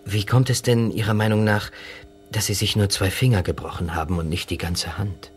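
An actor playing a dry, probing doctor with a wry, measured manner in a radio drama. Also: male voice, lowish voice, fairly even intonation, a little hiss or hum, lightly acted. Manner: wry, measured, quietly suspicious.

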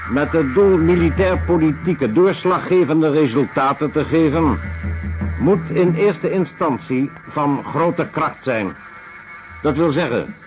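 A man playing a detached lecturer in a radio drama, reading in a precise, impersonal, matter-of-fact way. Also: fairly high pitch. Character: detached clinical lecturer on weapons and torture methods. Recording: dull radio recording with music behind.

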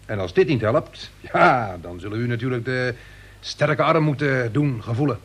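An actor playing a timid, gullible young tax collector who is polite and stammers hesitantly in a radio play. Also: male voice, mid-range voice, lively intonation, moderate pace, fairly bright tone, breathy edge. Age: young adult.

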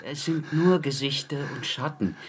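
An actor playing a patient, attentive caretaker who speaks in a calm, polite and reassuring way. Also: male voice, fairly high pitch, moderate pace, a little hiss or hum, natural voice. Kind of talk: radio play acting.

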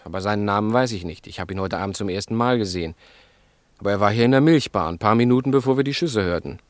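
A man acts an agitated snack bar keeper in a radio crime play. The voice is low and closely recorded with a dry sound, and he speaks emphatically, like someone sure of what he saw. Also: quick pace, no echo, lightly acted. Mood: insistent. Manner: emphatic, certain, colloquial. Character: agitated, decisive male milk bar owner and eyewitness. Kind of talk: German radio crime drama acting.

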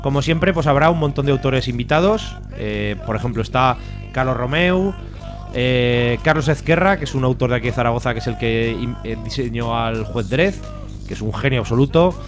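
A man's voice: mid-range voice, moderate pace. A man from Spain with a Spanish accent, chatting casually on the radio in a jokey, slangy, irreverent way. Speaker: comics guest and fanzine publisher. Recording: music playing behind.

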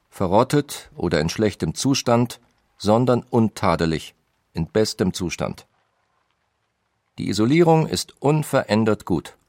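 An industrialist's letters. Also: male voice, lowish voice, a little mellow, no echo, studio quality. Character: 19th-century industrialist brother writing letters. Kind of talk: reading historical letters in a radio feature.

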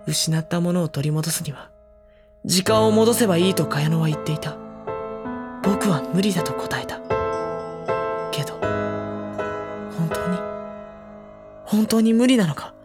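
A man playing an introspective, gentle high-school boy who narrates his troubles in an audio drama. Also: measured pace, pauses often. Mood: anguished. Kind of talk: Japanese drama CD acting, monologue and dialogue. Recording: studio drama with prominent music underneath. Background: prominent instrumental music.

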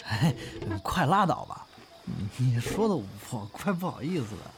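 A man playing a candid, easygoing young performer in an audio drama, speaking colloquially and haltingly. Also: mid-range voice, big pitch swings, over music. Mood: bantering, casual. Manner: colloquial, halting, hedging speech. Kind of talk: modern Chinese audio drama acting, naturalistic dialogue.

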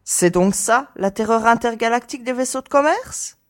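Actress as a high-voiced young woman commander. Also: expressive, normal speed, no room sound, studio quality, close to an everyday voice. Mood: commanding, mocking. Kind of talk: audio drama acting.

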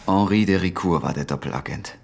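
Sardonic brooding officer guy, really low voice, radio drama, bit of noise behind. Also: brisk, gravelly. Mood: bitter. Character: brooding, sardonic male British intelligence officer.

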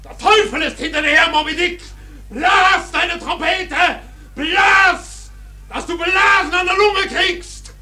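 An actor playing a wild sailor in a radio drama, shouting gleeful taunts in a shrill, exultant way. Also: male voice, slow pace, far from the mic, exaggerated voice. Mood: gleeful, taunting. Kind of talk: theatrical radio drama acting.

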